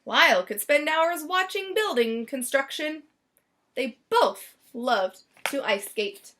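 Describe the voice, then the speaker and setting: A woman's voice: high-pitched, lively intonation, slow pace, pushed and bright. A woman reading a children's story aloud expressively, stretching and stressing words for drama. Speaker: storybook reader.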